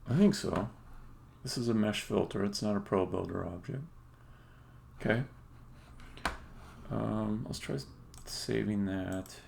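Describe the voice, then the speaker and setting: A man talking casually to himself in halting mutters, thinking aloud as he live-codes on a stream. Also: fairly low pitch, vocal fry, darkish tone. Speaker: game developer live-streaming a coding session. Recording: faint background noise, typing and clicks. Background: computer keyboard typing and mouse clicks.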